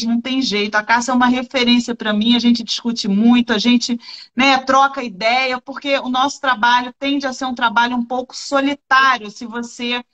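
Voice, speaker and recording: A woman hosting a livestream, telling a personal anecdote in a quick, chatty way. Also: sits high, fairly bright tone. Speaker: host and instructor. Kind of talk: livestream chat.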